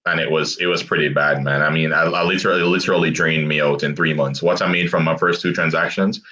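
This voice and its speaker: Deep-voiced young man, shy and laughing. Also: steady tone, bright, forceful tone. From abroad, grew up outside the US. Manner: laughs often, self-deprecating, halting restarts. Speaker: guest. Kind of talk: casual interview chat. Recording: dull lo-fi online call audio.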